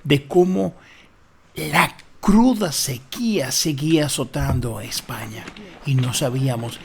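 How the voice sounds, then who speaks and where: A man's voice: mid-range voice, unhurried, gravelly, noisy background. A Dominican man with a Dominican Spanish accent hosts a travel vlog, narrating in dramatic, sentimental phrasing. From Dominican Republic.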